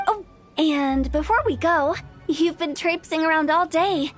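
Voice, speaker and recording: A woman's voice: extremely high pitch, measured pace, soft background music. A woman voicing a quirky, inquisitive teenage girl director in an anime game, with a teasing, chatty, theatrical way of talking. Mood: intrigued.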